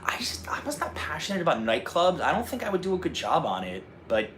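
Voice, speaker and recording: A man's voice: fairly high pitch, huge pitch swings, fast, fairly bright tone, slight background noise. A man with a slight North Jersey accent, hosting a casual travel-channel livestream and answering viewer questions. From North Jersey, USA. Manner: chatty, answers viewer questions, restarts and fillers.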